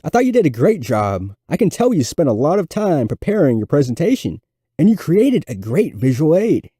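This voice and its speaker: A man's voice: a little high, big pitch swings, normal speed, dark tone, slightly rough, no echo, clean studio sound, voice pushed up. A man playing an encouraging male fourth-grade teacher who addresses the class supportively in a story. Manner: supportive, praising, formal classroom speech.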